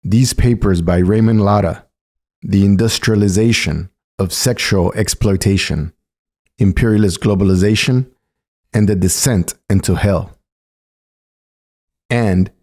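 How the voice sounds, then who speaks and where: Mellow, low-voiced man narrating. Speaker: narrator reading written political commentary. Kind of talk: scripted narration, polemical essay read aloud.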